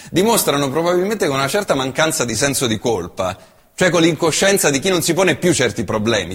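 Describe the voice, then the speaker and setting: A male stage actor delivering a theatrical monologue, impassioned and shifting from hushed tones to loud bursts. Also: a little high, slightly rough, big-room sound. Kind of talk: theatrical monologue reciting an essay.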